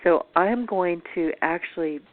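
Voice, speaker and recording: Hoarse chatty female radio host, tinny phone-line sound, laughs a lot. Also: lowish voice, measured pace. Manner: chatty, laughs often, dramatic asides. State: hoarse, voice in rough shape. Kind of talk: interview radio chat.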